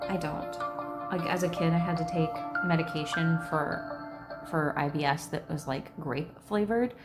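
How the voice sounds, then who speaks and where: A woman's voice: deep voice, moderate pace. A woman reading game text aloud as a gaming commentator and breaking into casual reactions. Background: loud game music.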